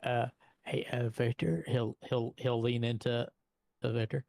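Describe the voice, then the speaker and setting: A man improvising casually as a tabletop game player, halting and repeating words as he feels out his point. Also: medium pitch, little pitch movement, gravelly, a little mellow, no echo.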